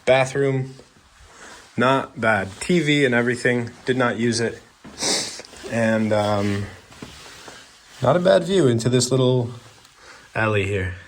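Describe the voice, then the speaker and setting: A man's voice: medium pitch, takes it slowly, long pauses, a little hiss or hum. A man vlogging in a chatty, easygoing way while walking through old town streets outdoors, talking to the camera with plenty of fillers. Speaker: travel vlogger.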